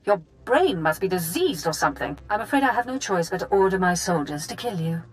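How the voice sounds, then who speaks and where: Give me a vain sci-fi game villainess, low, silky and imperious, faint music behind. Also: female voice, very animated, a little fast, low-quality recording. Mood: affronted. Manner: haughty, silky. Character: vain, seductive, haughty female Sith villain. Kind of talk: video game acting.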